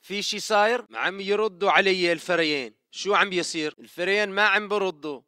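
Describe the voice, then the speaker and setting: A man's voice: sits high, fast, sharp tone, clear, resonant tone, no room sound, studio quality. A man playing a restless, worried militant guard with a Levantine Arabic accent in video game chatter.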